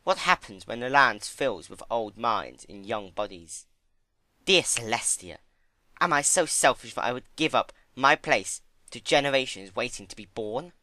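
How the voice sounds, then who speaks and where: A man's voice: medium pitch, expressive, measured pace, bright, forceful tone, no room sound. A man voicing a refined, ladylike female pony with a genteel, thoughtful and dramatic way of speaking in a story reading. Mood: musing. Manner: genteel, musing. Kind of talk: dramatic fan-fiction reading.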